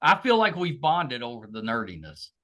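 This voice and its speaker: A man's voice: mid-range voice, very animated, moderate pace, bright, forceful tone. An older American man hosting a casual, chatty interview in an encouraging, chummy way. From American South. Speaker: podcast host and interviewer.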